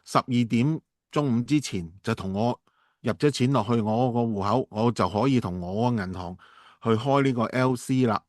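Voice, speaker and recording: A man playing a halting but persuasive businessman in a radio drama. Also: medium pitch, darkish tone, no room sound, clean studio sound, natural voice. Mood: pressing, eager. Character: smooth-talking businessman asking a partner for urgent money. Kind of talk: amateur Cantonese radio drama dialogue.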